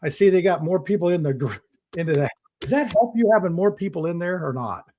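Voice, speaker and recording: A man's voice: sits high, expressive, fast talker, warm tone, a little hiss or hum. A man with a slightly raised voice hosts a casual panel chat. He rambles, restarts his sentences and chuckles often as he talks. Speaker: talk show host. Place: outdoors in the sun.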